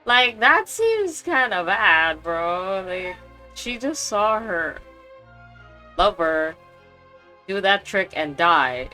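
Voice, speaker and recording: A woman's voice: medium pitch, big pitch swings, extremely slow, long pauses, sharp tone, soft background music. A woman streaming a video game, making casual, empathetic asides as she reacts.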